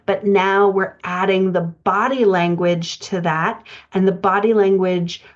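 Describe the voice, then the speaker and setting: A woman coaching writers in an explanatory lecture style, speaking haltingly with pauses as she thinks through her points. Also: medium pitch, steady tone. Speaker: writing coach presenting a podcast lesson. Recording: dull, band-limited audio.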